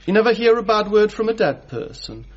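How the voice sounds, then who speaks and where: Morbid, pedantic mortuary oddball for radio comedy: high, swooping delivery, creepy formality. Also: male voice, sing-song, fairly quick, dull audio. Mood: earnest, eerie. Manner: pedantic, earnest, oddly formal way of talking. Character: creepy, morbid male pathologist who befriends corpses. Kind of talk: radio comedy drama acting.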